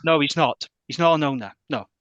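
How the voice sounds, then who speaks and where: A man's voice: a little high, brisk, a bit bright, dry recording, highs cut off. A man co-hosting a rugby podcast, making his points forthrightly and repeating them for emphasis. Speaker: podcast co-host. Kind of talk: casual rugby chat.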